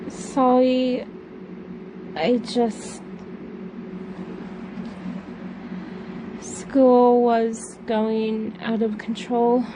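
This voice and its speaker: A woman acting as a young daughter in mourning, speaking extremely slowly in a fairly high voice. She is hesitant, anxious and subdued, in a homemade audio drama with clear background noise. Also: little pitch movement. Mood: sad. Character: grieving, anxious young daughter missing her mother. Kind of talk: amateur audio drama dialogue. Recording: noisy hobby recording.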